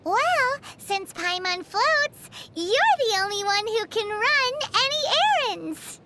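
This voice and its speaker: Super squeaky cheeky fairy sidekick from a video game, talks about herself in third person, voice bouncing all over. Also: female voice, big pitch swings, slow pace, noisy background, heavily acted. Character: tiny floating female fairy companion, cheeky. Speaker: sidekick companion. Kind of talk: video game character banter.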